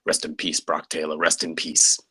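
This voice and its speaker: An actor playing a lazy, jaded campus security guard in a comedy table read, deadpan and offhand, with a gravelly voice. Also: male voice, extremely low pitch, moderate pace, no room sound, heavily acted. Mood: deadpan, dismissive. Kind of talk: comedy script read aloud.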